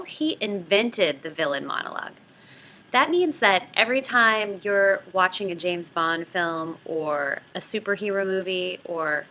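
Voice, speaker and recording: A woman's voice: lowish voice, pushed and bright, rough recording. A woman giving an explanatory literature lesson as a video host, conversational and enthusiastic. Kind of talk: explanatory lesson with novel read-aloud.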